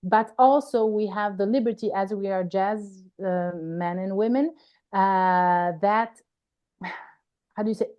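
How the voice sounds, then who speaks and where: An Italian-accented woman with a fairly high but soft, mellow tone talks through her ideas in a relaxed interview. She often pauses to hunt for the right English word, restarts phrases, and checks in with a questioning "no?" at the end of her sentences. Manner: searching for words, tags sentences with "no?". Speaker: singer and guest. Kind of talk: conversational interview answers.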